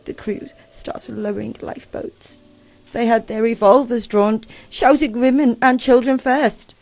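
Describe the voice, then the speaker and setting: Radio play role: woman playing weary, grieving survivor wife, highish gravelly voice, halting and dramatic, faint music. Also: fairly even intonation, a little mellow. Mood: shaken, remembering.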